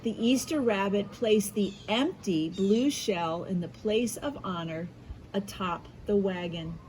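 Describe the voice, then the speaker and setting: An American woman leads a read-aloud lesson for young children. She speaks slowly in a medium-pitched voice, expressive and encouraging, leaving room for answers. Faint noise sits behind her. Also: low-quality recording. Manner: expressive, encouraging, asks questions and pauses for answers. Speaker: school media specialist reading aloud to children.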